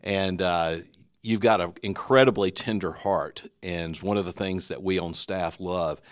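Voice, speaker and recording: A man's voice: deep voice, steady tone, unhurried, clear, resonant tone, no room sound, studio quality. A man with a Southern US accent hosting a church podcast, speaking earnestly with frequent fillers. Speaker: podcast host.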